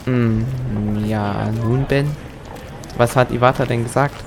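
A man's voice: mid-range voice, steady tone, measured pace, background noise, close to an everyday voice. A man playing an exasperated, self-important young team leader in an amateur audio drama. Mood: smug.

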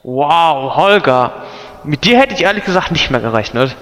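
A man's voice: on the high side, animated, moderate pace, fairly bright tone. A man playing an earnest adventurer in an amateur audio drama parodying video games. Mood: sceptical.

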